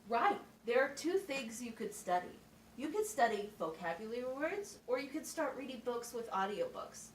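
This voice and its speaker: A woman's voice: fairly high pitch, big pitch swings, normal speed. A woman playing a patient, instructive schoolteacher in an amateur puppet show, explaining things simply and asking checking questions. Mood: encouraging, patient. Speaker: teacher. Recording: roomy, distant mic with faint noise.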